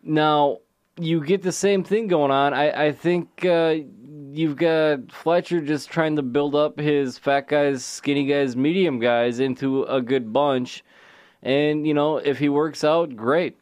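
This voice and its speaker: A man's voice: a little high, steady tone, slow pace, darkish tone, no room sound. A man co-hosting a casual sports podcast, joking and rambling, often restarting his sentences. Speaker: podcast co-host.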